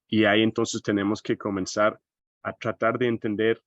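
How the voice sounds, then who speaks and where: A man's voice: lowish voice, level tone, moderate pace, no echo. American man lecturing in Spanish over a call. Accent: American English. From United States. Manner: thoughtful, often tags phrases with a questioning aside. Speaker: seminar speaker. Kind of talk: online lecture presentation. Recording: dry, dull lo-fi call audio.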